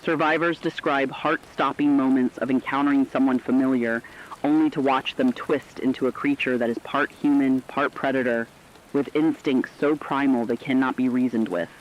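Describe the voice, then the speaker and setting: A man's voice: medium pitch, fairly even intonation, normal speed, slight background noise, close to an everyday voice. Serious male radio reporter, acted, slightly muffled. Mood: serious, urgent. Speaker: radio emergency broadcast reporter. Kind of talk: scripted horror radio narration.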